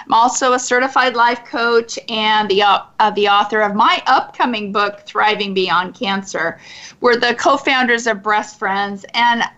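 A woman co-hosting a talk radio show, enthusiastic and quick to chime in. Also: on the high side, a bit bright, dull audio.

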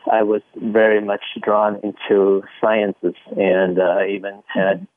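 Halting middle-aged man on a phone line. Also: on the low side, flat delivery, no room sound. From born in the Philippines, raised in Singapore. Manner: halting, hesitant, pauses often. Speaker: interview guest, chiropractor. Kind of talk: conversational radio interview. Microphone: telephone. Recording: tinny phone line, dry.

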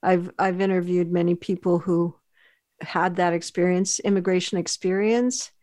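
A woman's voice: on the low side, fairly even intonation, normal speed. A woman hosting an interview who asks thoughtful questions and often ends them with tag questions. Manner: warm, probing, tag questions. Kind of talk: conversational interview.

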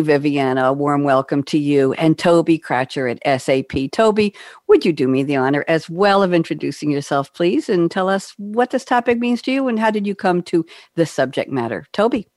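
A woman's voice: sits low, darkish tone, no echo, clean studio sound. A woman hosting a podcast interview, introducing guests with effusive praise. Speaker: host.

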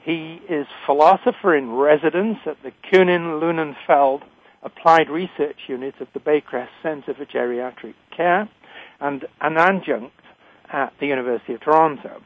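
A man's voice: fairly high pitch, normal speed. Older British man on a phone-like line. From Britain. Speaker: radio show host, retired physician. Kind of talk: scripted radio introduction and interviewing.

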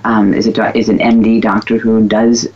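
A woman's voice: a bass voice, little pitch movement, moderate pace, breathy edge. A woman guest, a former physical therapist, talking candidly and emphatically in casual conversation. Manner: candid, emphatic, self-described loudmouth. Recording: dull lo-fi recording.

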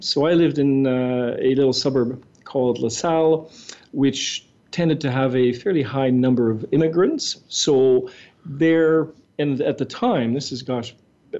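Mellow-voiced older man from Montreal. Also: mid-range voice. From Montreal, Quebec. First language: French. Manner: fluent, articulate storytelling. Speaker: guest. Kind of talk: interview answers. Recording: dull lo-fi sound.

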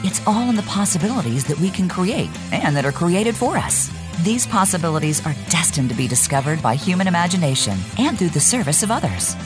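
A woman reading a scripted radio show promo in a smooth promotional style. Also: on the low side. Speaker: announcer.

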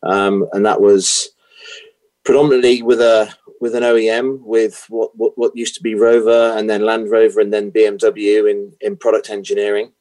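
A middle-aged British man talks through his answers with a fairly low voice. He hesitates often, filling gaps with ums and repeating words as he builds his sentences. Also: level tone, normal speed, darkish tone, low-quality recording. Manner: hesitant, many fillers and restarts. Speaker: guest, automotive consultant. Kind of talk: interview answers.

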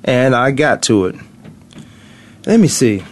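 A man's voice: medium pitch, lively intonation, slow. A middle-aged man hosting a morning sports talk radio show from a studio, rambling and thinking aloud as he goes. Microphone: studio mic.

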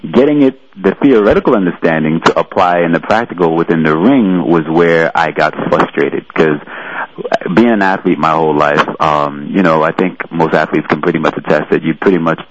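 Radio guest, man in his 30s from New York, very low voice, relaxed storyteller with lots of filler words, faint hiss behind. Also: brisk, dull audio. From New York City. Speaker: guest, fitness trainer. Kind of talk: radio interview.

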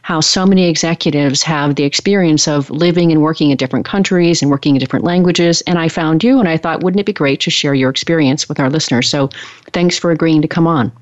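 A woman's voice: low-pitched, fast, dry recording. A woman hosting a conversational interview in an encouraging, appreciative manner. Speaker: host, interviewer. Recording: dry, slightly dull lo-fi sound.

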